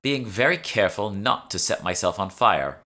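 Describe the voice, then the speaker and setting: A man acts out a brusque female alchemist from an urban fantasy audiobook. She is irritable and sardonic, explaining things curtly with a sharp, forceful edge. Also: fairly low pitch, expressive, normal speed, voice pushed up. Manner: curt, sardonic, sweary. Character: brusque, irritable young woman, know-it-all alchemist partner.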